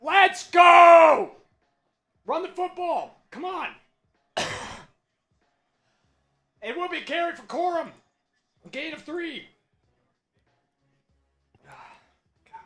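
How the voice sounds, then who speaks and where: Hoarse, screaming fan play-by-play man. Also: extremely high pitch, very slow, long pauses. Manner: yells the big plays, otherwise talks in a strained, hushed voice. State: losing his voice from screaming. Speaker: watch party play-by-play host. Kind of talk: excited fan play-by-play on a livestream.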